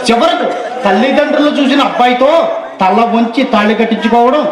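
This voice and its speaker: A man's voice: very high voice, fairly quick. A man acting a lovelorn, boastful young suitor in a Telugu stage play, with theatrical, declamatory delivery. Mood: anxious, taunting. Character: lovelorn, boastful young suitor courting his female cousin. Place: stage in front of audience. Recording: echoey stage hall, audience noise behind.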